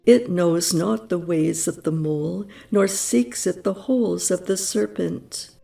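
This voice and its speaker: A woman reads prose poetry with deliberate, unhurried solemnity and a gravelly edge to her voice. Her tone is low and mellow. Soft music plays faintly underneath. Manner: measured and reverent, with long pauses. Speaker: audiobook reader. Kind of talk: slow, solemn poetry reading.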